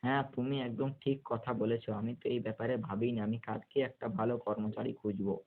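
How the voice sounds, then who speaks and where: A man's voice: mid-range voice, flat delivery, quick pace, natural voice. A man voicing a lazy, carefree young adult son in a cartoon, making placating excuses. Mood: pleased. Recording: narrow, phone-like muffled sound.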